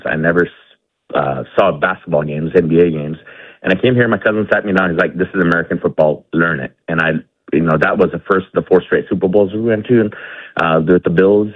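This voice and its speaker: A man's voice: deep voice, no echo. A man who grew up in India, calling in to a radio show from his garage, rambling with many fillers and restarts. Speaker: caller. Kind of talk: casual call-in chat. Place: home garage. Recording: tinny phone line.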